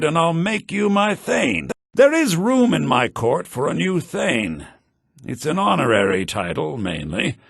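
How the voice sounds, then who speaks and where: A dignified ruler in a fantasy game. His formal delivery is lively in pitch, with a forceful, gravelly edge. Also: no room sound, studio quality, heavily acted. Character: dignified fantasy lord offering an honorary title. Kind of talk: video game character acting.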